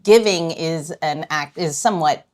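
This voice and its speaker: A woman's voice: low voice, moderate pace, a little mellow. Chatty woman hosting a casual podcast. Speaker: podcast host.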